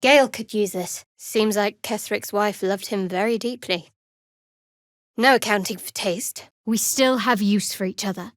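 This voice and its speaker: A woman voicing a guarded, devout young cleric in a fantasy video game, with a measured, dry, wry delivery. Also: medium pitch, no room sound, natural voice.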